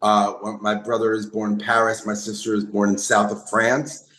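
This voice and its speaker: A man who is a comedian, talking casually in an interview, halting with many restarts and fillers. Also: on the low side, completely flat delivery, fairly bright tone, reverberant, rough recording. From Brooklyn, New York. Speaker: comedian guest.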